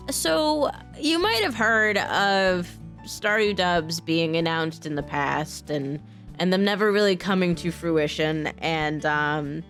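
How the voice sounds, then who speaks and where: A woman talking casually in a vlog announcement, informal and halting, often restarting her sentences. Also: lowish voice, fairly bright tone. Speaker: voice actor, software engineer and game modder.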